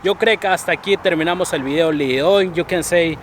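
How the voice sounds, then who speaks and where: A man's voice: fairly high pitch, normal speed, slight background noise. A man hosting a casual vlog, mixing Spanish and English and laughing often as he talks. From abroad, came to the US at fifteen. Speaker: vlog host. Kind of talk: casual street interview chat.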